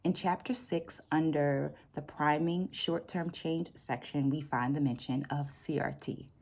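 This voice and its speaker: A middle-aged woman hosting a reflective vlog, explaining things deliberately and stressing key words. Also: deep voice, fairly even intonation, darkish tone, slight background noise. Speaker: book vlog host and dance educator. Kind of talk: reflective vlog talk with read-out quotes.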